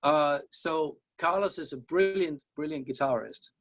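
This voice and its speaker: A man's voice: fairly high pitch, measured pace, a bit bright. A middle-aged man from Denmark with a Danish accent, giving a college music lecture in a discursive, wry manner with self-deprecating jokes. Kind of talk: opening class lecture.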